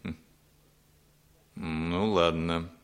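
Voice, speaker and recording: A deep-voiced guarded man in his thirties, slow, smooth resonant tone, radio play. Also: little pitch movement. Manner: measured, guarded. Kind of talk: 1960s radio drama acting.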